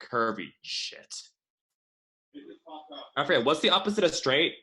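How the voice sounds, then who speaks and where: A man's voice: a little high, very animated, unhurried, pushed and bright, full character voice. Catty, taunting man acting a crude young guy. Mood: snide, taunting. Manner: taunting, snide. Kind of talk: table read of a comedy web series.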